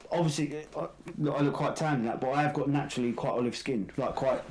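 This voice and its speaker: A man's voice: medium pitch, quick pace, darkish tone. A young man co-hosting a casual podcast, rambling, swearing casually and laughing at himself. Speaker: podcast co-host. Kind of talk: casual banter among friends. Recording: echoey room with crowd noise.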